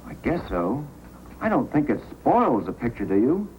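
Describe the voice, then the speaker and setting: An actor playing a fussy, excitable frontier photographer with a halting manner in an old-time radio drama. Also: male voice, mid-range voice, a little hiss or hum, natural voice, poor audio. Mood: earnest. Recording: old radio recording, faint music.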